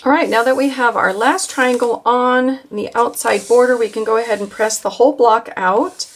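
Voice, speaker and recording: A woman calmly walking viewers through a quilt block step by step, with occasional fillers as she works. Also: fairly high pitch, moderate pace, a little hiss or hum. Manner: calm, instructive, with occasional fillers. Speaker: quilting instructor. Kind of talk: step-by-step craft tutorial.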